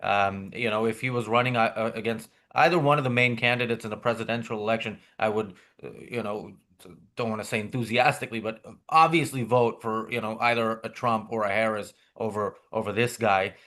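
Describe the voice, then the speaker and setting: A man hosting a political podcast, talking in a halting way with many fillers and restarts over a video call. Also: fairly low pitch, fairly even intonation, fairly bright tone. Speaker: podcast host. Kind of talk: political commentary chat.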